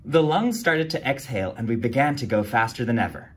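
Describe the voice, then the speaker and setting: A man reads a picture book aloud for young kids, his pitch swinging widely as he brings the story to life. His voice has a husky edge to it, and he leaps upward for each character's line. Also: fairly quick. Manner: animated read-aloud, jumps high for character quotes. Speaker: storytime reader.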